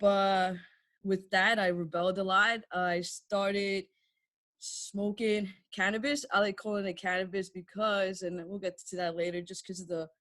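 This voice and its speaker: A woman's voice: medium pitch, a bit bright. A woman in her thirties from New York, a podcast guest telling her story in casual chat, candid and laughing often. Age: 30s.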